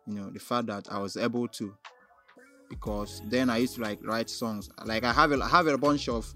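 A man with a Nigerian accent shaped by his native Igbo talks casually as a guest in an interview. He feels his way through answers haltingly, leaning on filler phrases. Faint music sits behind his voice. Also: medium pitch, pushed and bright. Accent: Nigerian, Igbo-influenced. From Onitsha, southeastern Nigeria. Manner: halting, frequently repeats "like" and "you know" while thinking aloud.

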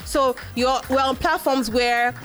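A woman's voice: sits high, unhurried, soft background music. A Nigerian woman whose first language is Igbo, telling her story in a live interview with lots of fillers and acted-out quotes. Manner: animated storyteller, acts out quoted voices, frequent fillers. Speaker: podcast host being interviewed.

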